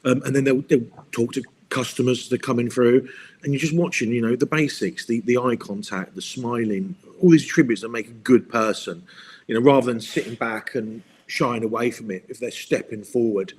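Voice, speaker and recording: A man's voice: medium pitch, a little fast, a little hiss or hum. A man answering interview questions, rambling and often repeating words while he thinks. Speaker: guest. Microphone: video call.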